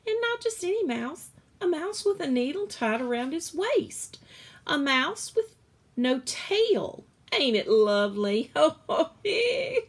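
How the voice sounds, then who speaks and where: A woman's voice: high-pitched, sing-song, takes it slowly, voice pushed up, heavily acted. A woman voicing a fat, jolly female cook from a storybook, with hearty, folksy exclamations. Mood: amused, boisterous.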